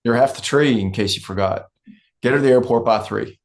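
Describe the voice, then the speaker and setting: A man acting a dry, weary oil company fixer in a script read, terse and deadpan. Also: mid-range voice, a little fast, natural voice. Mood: dry, unruffled. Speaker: actor.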